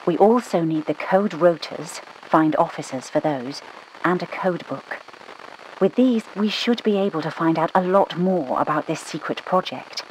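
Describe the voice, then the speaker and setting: Woman voicing a British spymaster, low voice, clipped, dry, commanding, game voice lines. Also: normal speed, darkish tone, slight background noise, natural voice, poor audio. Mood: briefing. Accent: British English. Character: brisk British woman spymaster giving orders. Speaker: mission controller.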